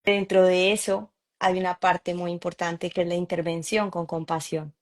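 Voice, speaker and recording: A woman's voice: on the low side, level tone, normal speed. Colombian woman telling a story. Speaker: psychologist guest. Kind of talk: conversational interview.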